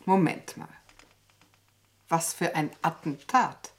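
A woman's voice: deep voice, slow pace. An actress in a German radio play playing an imperious, indignant lady of a genteel household, haughty and commanding.

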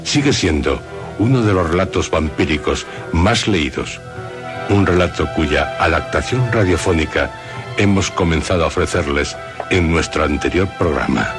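Male radio host, deep register; dramatic scripted storytelling with measured pauses over clear music. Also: expressive. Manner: deliberate, theatrical phrasing with suspenseful pauses. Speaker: radio show host and narrator.

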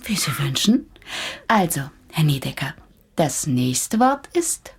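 Breathy, animated, clever psychiatrist. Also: female voice, low-pitched, full character voice. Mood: composed. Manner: brisk, instructive, gently coaxing. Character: confident, clever female psychiatrist, teasing yet composed. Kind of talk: radio drama acting.

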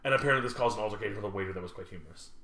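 A man from Montreal co-hosting a podcast, telling anecdotes in a lively, casual way with the odd swear. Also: on the low side, rapid-fire, reverberant, a crowd in the background. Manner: animated storyteller, swears casually. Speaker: podcast co-host. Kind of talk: casual chat.

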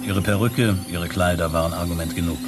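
A man's voice: low voice, steady tone, fairly quick, heavy background noise, natural voice. A man acting as the detached storyteller of a science fiction radio play, matter-of-fact and sober in his delivery. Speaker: narrator. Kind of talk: scripted radio play narration. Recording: 1970s radio play with music behind.

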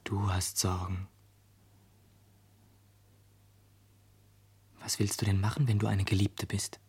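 An actor playing a brooding, blunt teenage boy in a radio play, speaking low-key, hushed and terse. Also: flat delivery, normal speed, husky. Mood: blunt, teasing. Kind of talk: intimate radio play dialogue.

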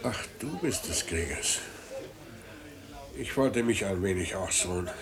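Imperious older merchant, party chatter behind. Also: male voice, sits low, animated, measured pace. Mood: fond, tired. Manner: grandly polite, commanding. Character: wealthy imperious older merchant, genial host, curt with inferiors. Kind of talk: 1950s German radio play acting. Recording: 1950s radio recording, party chatter behind.

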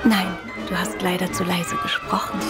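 Eine Schauspielerin spielt in einem Hörspiel die schlagfertige, hartnäckige Ehefrau eines Detektivs, wissend und sanft spöttisch. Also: female voice, lowish voice, level tone, moderate pace, over loud music, close to an everyday voice. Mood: teasing, insistent. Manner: knowing, gently mocking. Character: witty, persistent wife of a gentleman detective. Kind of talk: German radio play acting.

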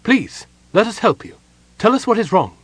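An anxious noblewoman, played by a man, calls out in a booming, panicked manner. The voice is pitched high with a husky grain, in fantasy storybook style. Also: very animated, normal speed, no echo, exaggerated voice. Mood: panicked, imploring. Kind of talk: dramatised audiobook fantasy character.

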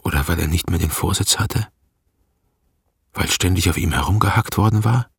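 An actor playing a weary, reluctant herdsman with a husky, gravelly voice in a radio play. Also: deep voice, moderate pace, dry recording, clean studio sound, exaggerated voice. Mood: weary, brooding. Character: weary, reluctant male communist herdsman telling his past. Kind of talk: radio play narration and dialogue.

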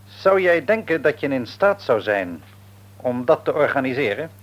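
A man's voice: mid-range voice, sing-song, normal speed, muffled recording, natural voice. An actor playing a shrewd, patronising middle-aged father and businessman in a Dutch radio play, wordy and probing, with a Netherlands accent. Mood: brisk, businesslike. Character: shrewd, patronising businessman father, outwardly jovial, secretly domineering. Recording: radio drama recording.

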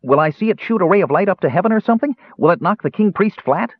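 A man voicing an eager, excitable small male kender who chatters breathlessly in a high voice. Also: fast, no room sound. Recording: tinny phone-like narrow band.

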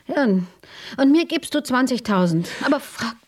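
A woman's voice: high-pitched, animated, measured pace, breathy edge, full character voice. An actress playing a brash, defiant young woman with coarse, blunt speech. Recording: distant mic.

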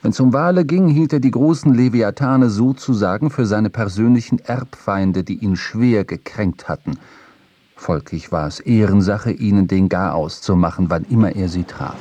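A man narrating a literary audio drama with a husky voice, recorded in a studio. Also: lowish voice, moderate pace, warm tone, no room sound. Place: dry studio.

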